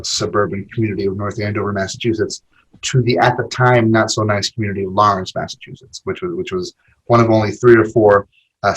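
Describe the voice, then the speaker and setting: A podcast guest in his forties talks through his memories in a loose, conversational way, often doubling back on his own words. His voice sits low and has a breathy, husky edge. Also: fairly bright tone, low-quality recording. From Argentina. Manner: rambling, with many fillers and repeated words. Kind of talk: casual interview conversation.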